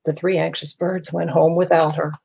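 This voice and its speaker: A woman's voice: low-pitched, level tone, moderate pace, vocal fry, warm tone, slight background noise. A middle-aged woman reading a children's storybook aloud expressively in a room at home. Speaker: storybook reader. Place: small furnished room at home.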